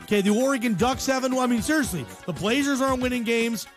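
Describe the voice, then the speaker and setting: High-pitched American sports radio guy. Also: fast talker, soft background music. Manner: conversational, opinionated, slangy, emphatic repetition. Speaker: sports talk radio host. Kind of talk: casual sports radio commentary. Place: radio show.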